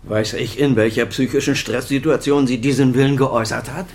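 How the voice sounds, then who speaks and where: A man playing a middle-aged or older senior doctor and father in a radio drama. His voice is husky and mid-pitched. He speaks in clipped, defensive phrases, weighed down by guilt. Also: steady tone, fairly quick, close to an everyday voice. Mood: firm, controlled. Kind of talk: German radio crime drama acting.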